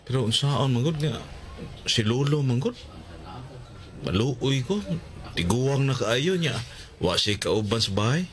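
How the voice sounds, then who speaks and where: An actor playing an easygoing, caring young man in a radio drama, with a Cebuano Filipino accent and a gentle, hesitant manner. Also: medium pitch, lively intonation, measured pace, pauses often, a crowd in the background, lightly acted. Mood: wistful, resigned.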